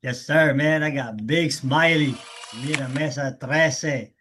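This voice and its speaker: A man hosting a casual interview, asking loose, halting questions in street slang. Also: fairly high pitch, fairly bright tone, soft background music. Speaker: host.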